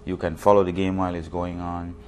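A man's voice: low voice, flat delivery, a little fast, a little mellow, slight background noise. A man, explaining things as a guest in a studio interview and repeating words as he goes. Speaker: basketball league official, interview guest. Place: TV studio set, indoors. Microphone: lapel.

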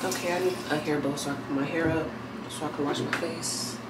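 Low-voiced woman rambling in an echoey bathroom. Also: brisk. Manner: relaxed, rambling, thinking aloud. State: heavily pregnant. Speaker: vlogger. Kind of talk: casual chat to camera. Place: small bathroom at home, indoors. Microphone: camera mic a few feet away. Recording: echoey room, distant mic, air conditioner hum.

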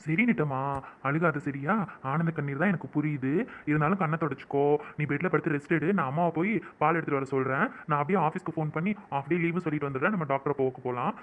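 Caring young husband, man acting: quick, high, reassuring; phone-like recording. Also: close to an everyday voice. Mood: excited. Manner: fussing, reassuring. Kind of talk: home-made skit acting. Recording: tinny phone-like sound.

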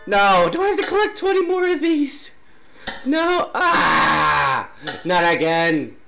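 A man's voice: high-pitched, takes it slowly. A young man with a sinus infection and sore throat, casually commenting on a game and reading its dialogue aloud. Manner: clears throat often, reads dialogue in a flat voice. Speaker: gaming YouTuber. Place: small dark room at home. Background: loud game music.

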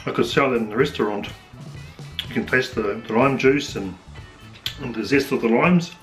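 A middle-aged New Zealand man talks through his cooking in a laid-back, rambling way, his voice slightly hoarse. His pitch sits in an ordinary middle range. He is picked up by a camera in a home kitchen, with faint music underneath. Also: normal speed, rough recording. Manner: relaxed, chatty, thinking aloud with fillers. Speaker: home cook vlogger. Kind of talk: casual cooking commentary.

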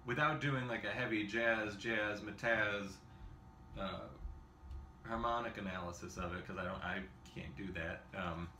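A man's voice: on the low side, unhurried, sharp tone, slight background noise. A man in his thirties giving a casual xylophone lesson in his home kitchen, rambling and self-deprecating, with lots of fillers and small laughs. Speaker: xylophone teacher. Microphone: camera in front at a short distance. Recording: echoey kitchen with xylophone playing.

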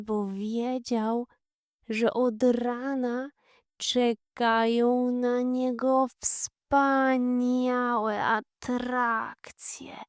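A woman narrating a children's fairy tale from a script in a calm storytelling style. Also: mid-range voice, clean tone, dry recording, clean studio sound.

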